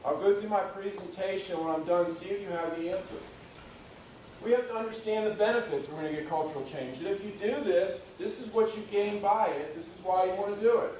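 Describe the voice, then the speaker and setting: A man's voice: high voice, a little fast, a little mellow, a little hiss or hum. A middle-aged man, giving a conference presentation in a conversational, emphatic way. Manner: folksy, conversational, emphatic on key points. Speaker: public works official giving a talk. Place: university lecture room. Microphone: speaking away from the microphone. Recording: very echoey room, voice far from mic.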